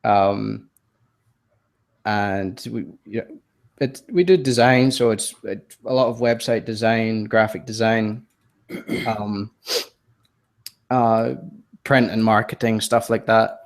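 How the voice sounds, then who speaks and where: This is a Scottish-born man in his thirties with a softened accent and a lowish, gravelly voice. He speaks hesitantly in a relaxed interview, often restarting his sentences and making fun of himself. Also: slow pace, long pauses. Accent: Scottish, softened by years in the US. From Scotland. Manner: self-deprecating, hesitant, many ums and restarts, laughs often. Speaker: podcast guest, designer. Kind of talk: casual interview chat.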